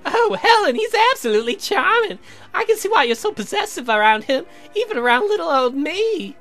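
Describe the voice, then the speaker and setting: A woman's voice: very high voice, sing-song, brisk, fairly bright tone, soft background music, full character voice. A woman voicing a flirty, gushing society lady from a visual novel in a playful, affected, teasing way. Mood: delighted, gushing. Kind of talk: theatrical visual novel character reading.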